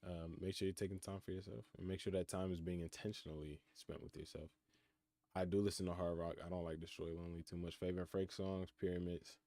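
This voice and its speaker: A man's voice: deep voice, little pitch movement, fast talker, gravelly, dark tone, no echo. A nineteen-year-old man chatting casually on a live stream, reading out questions from chat and answering them in a laid-back, reflective way. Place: his room in college housing. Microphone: computer mic.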